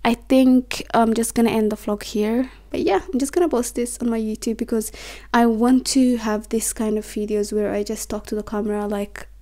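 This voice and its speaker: Rambling young woman, mellow voice. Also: fairly high pitch, fairly even intonation, moderate pace. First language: Somali. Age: 20s. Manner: rambling, self-interrupting, stumbles on words. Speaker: vlogger. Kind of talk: reflective talk to camera. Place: small bedroom, indoors. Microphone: camera on a desk, facing her.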